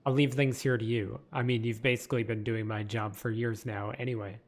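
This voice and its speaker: A man voicing a relaxed, free-spirited male knight leader, a little louder than his narration. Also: medium pitch, brisk, close to an everyday voice. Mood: breezy.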